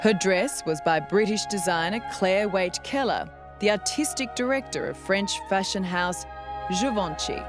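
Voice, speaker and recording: An Australian woman delivers scripted narration for a television news feature. She speaks as the reporter at a middling pitch, with music clearly running behind her voice. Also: measured pace.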